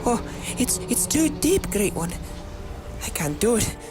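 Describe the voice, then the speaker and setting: Woman acting high-pitched, terrified cult follower. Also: sing-song, unhurried, music in the background. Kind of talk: audio drama acting.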